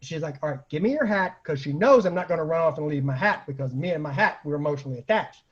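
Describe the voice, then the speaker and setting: High-pitched husky man telling funny stories. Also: fast. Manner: animated, acts out quoted lines, laughs at own stories. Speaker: storyteller, online show host. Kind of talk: casual comic anecdote telling. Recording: dull lo-fi home recording.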